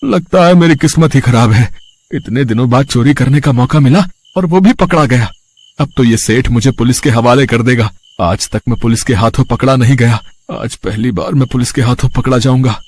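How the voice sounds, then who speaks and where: A man's voice: medium pitch, normal speed, darkish tone, voice pushed down, close to an everyday voice. Man playing a grumbling, luckless village thief. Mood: worried, pleading. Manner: grumbling, self-pitying. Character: sullen, luckless village thief, easily frightened husband. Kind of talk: Hindi moral-story cartoon voice acting.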